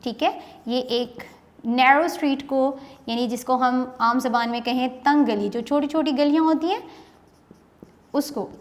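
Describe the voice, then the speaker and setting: A Pakistani woman teacher giving an online English lesson, explaining a poem line by line in a mix of Urdu and English and reading the verses slowly. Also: high-pitched, in a large hall. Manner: slow, reads poem lines drawn out.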